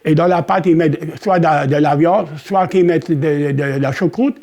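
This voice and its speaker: A man's voice: on the high side, normal speed, a little mellow. A man interviewed for a documentary, speaking hesitantly and repeating words as he explains.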